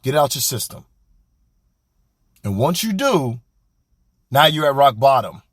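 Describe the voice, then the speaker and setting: A man's voice: medium pitch, measured pace, dry recording, clean studio sound. A young man, hosting a podcast and giving a blunt, colloquial motivational talk. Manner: animated, preachy, blunt and colloquial. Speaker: podcast host. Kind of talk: motivational monologue.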